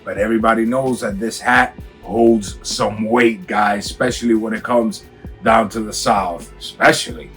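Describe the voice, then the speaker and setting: A raspy-voiced man with a cold, from Miami, hyping up a casual clothing unboxing for his viewers. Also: on the low side, steady tone, normal speed, fairly bright tone, faint music behind. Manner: hyped, slangy, talks straight to viewers. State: has a cold. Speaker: sneaker and clothing vlogger. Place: home room.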